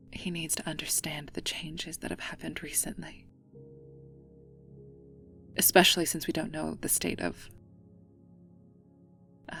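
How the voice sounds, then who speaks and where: Woman acting playful regal goddess, low female voice, gravelly creak, breathy whispers, slow teasing delivery, wistful, ASMR roleplay, soft music behind. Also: exaggerated voice. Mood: wistful, pleading. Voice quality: breathy, often dropping into whispers.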